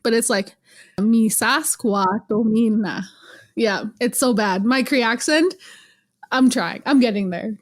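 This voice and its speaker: A young woman from Canada, a guest in an interview, telling stories in a lively, teasing way and laughing often. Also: on the high side, a little slow. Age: young adult. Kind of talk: casual interview.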